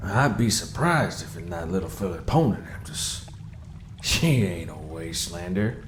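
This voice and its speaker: A big, menacing male dog beast talks gruffly in a very deep voice. It is an audio drama creature with an echoing sound and a faint hum behind. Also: animated, normal speed. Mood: gruff, scornful.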